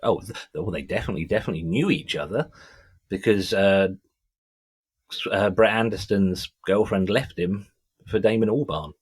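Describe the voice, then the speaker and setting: Deep husky man, enthusiastic. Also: normal speed. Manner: enthusiastic, sweary fan talk. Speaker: music podcast host. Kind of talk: casual music chat.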